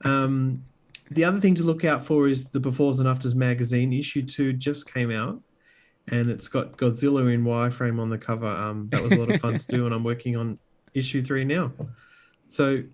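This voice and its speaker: A man's voice: mid-range voice, steady tone, moderate pace, darkish tone. A man co-hosting a podcast in an upbeat, informal, chatty way. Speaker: podcast host. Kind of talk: casual podcast chat.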